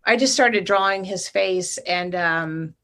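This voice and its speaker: A woman's voice: fairly low pitch, slow, pushed and bright. A middle-aged woman, a guest artist, talking thoughtfully and at length about her work over a video call. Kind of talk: casual interview talk.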